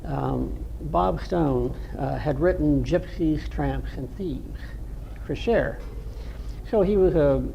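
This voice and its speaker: An older man from the North Shore of Massachusetts with a nasal voice, a veteran rock guitarist telling stories from his band days in an unhurried, rambling way. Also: fairly high pitch, vocal fry, mellow, muffled recording, slight background noise. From North Shore of Massachusetts, USA. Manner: unhurried, rambling storytelling with many ums. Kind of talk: reminiscing interview answers.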